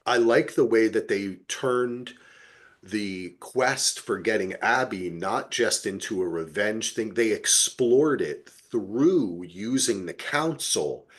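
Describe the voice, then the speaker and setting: A man's voice: on the low side, expressive, slow. A man co-hosting a podcast, chatting casually about television in an emphatic, opinionated way with some casual swearing. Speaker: podcast co-host. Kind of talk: casual TV review chat.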